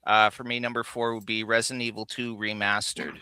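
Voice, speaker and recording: A man co-hosting a casual gaming livestream, explaining his views in a measured way. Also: on the low side, little pitch movement, background noise. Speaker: co-host of a live gaming countdown show. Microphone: streaming call microphone.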